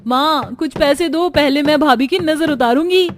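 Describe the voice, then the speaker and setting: A woman voicing an excited, adoring young sister-in-law in a cartoon family story, gushing and exclaiming eagerly. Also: high-pitched, moderate pace, a little hiss or hum, voice pushed up, close to an everyday voice. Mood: excited, welcoming.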